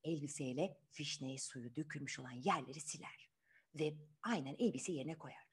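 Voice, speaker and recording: A woman's voice: low voice, moderate pace. A woman telling a children's fairy tale in a chatty, conversational style, often putting questions to the young listeners. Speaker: children's storyteller.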